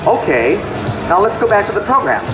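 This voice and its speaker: Chatty high-voiced man demoing in a hall. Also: sing-song, fairly quick, crowd noise. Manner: chatty, self-deprecating, joking asides. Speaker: software product specialist. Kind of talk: live trade-show clinic demo. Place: large convention hall. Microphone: handheld mic. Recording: echoey hall with music behind.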